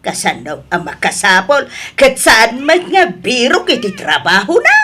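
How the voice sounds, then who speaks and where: A woman acting an older, worried, nagging mother-in-law in an Ilocano radio drama, expressive and full of sighs. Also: on the high side, measured pace, loud music behind the voice.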